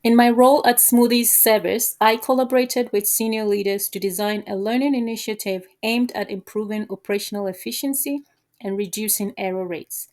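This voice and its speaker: A woman speaks as a candidate in a job interview. Her answers sound prepared and formal, set out in a measured, orderly way. The pitch sits in an ordinary middle range for a woman. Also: fairly even intonation, darkish tone.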